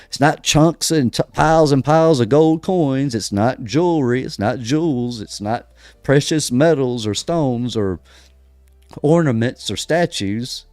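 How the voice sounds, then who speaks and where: An American man hosting a religious podcast, delivering emphatic commentary full of rhetorical questions, pauses and restarts. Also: medium pitch, a little mellow, no room sound. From United States. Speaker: podcast host. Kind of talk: preaching-style religious commentary.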